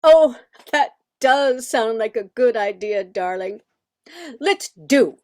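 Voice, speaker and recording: Older woman as a puzzled, high-voiced aging mother. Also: animated, slow pace, darkish tone, a little hiss or hum. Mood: pleased. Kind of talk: amateur radio play acting.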